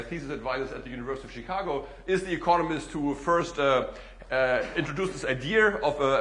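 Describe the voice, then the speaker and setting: A middle-aged man with a German accent gives a talk to an audience, at a mid-range pitch. He speaks in a loose, conversational way, with plenty of "uh" and "you know" between thoughts. The sound carries an echo from the room. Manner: relaxed, frequent "uh" and "you know" fillers. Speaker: guest speaker, economics professor. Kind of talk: public lecture.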